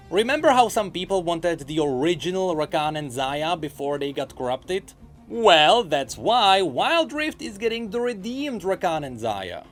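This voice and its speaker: Gaming news host, very lively pitch, dramatic n sarcastic delivery, scripted, bit of music behind. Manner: sarcastic, theatrical emphasis.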